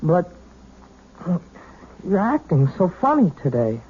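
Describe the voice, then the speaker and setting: An actor playing a moody, self-deprecating, lovesick young piano student in a radio drama, halting and earnest. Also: male voice, high voice, wildly swooping pitch, breathy edge, phone-quality sound, heavily acted. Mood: earnest, yearning. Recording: thin, band-limited old radio recording.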